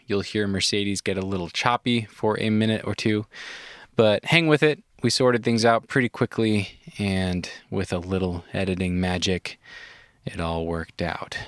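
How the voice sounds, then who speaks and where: A man's voice: on the low side, moderate pace, no echo, clean studio sound. A man hosting a podcast, talking casually and laughing often, a little stuffy from a head cold. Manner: relaxed, laughs often, restarts sentences. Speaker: podcast host. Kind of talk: casual podcast chat and ad read. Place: inside a van.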